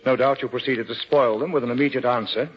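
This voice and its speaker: An actor playing a genial, opinionated middle-aged doctor, wry and blunt, in the style of 1940s radio drama. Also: male voice, mid-range voice, fairly even intonation, fast, lightly acted. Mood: teasing. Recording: muffled, noisy 1940s radio recording.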